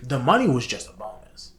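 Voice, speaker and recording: A man co-hosting a casual review discussion, thinking aloud in a halting way. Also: medium pitch, very animated, vocal fry, fairly bright tone, a little hiss or hum. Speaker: show co-host. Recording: roomy, echoing sound.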